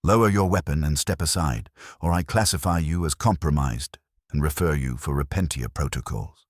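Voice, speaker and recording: A cold and rigid warrior sister superior from a grim sci-fi audio story, voiced by a man, issues formal orders. The voice is deep and slightly husky, stern and commanding. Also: moderate pace, dry recording, studio quality.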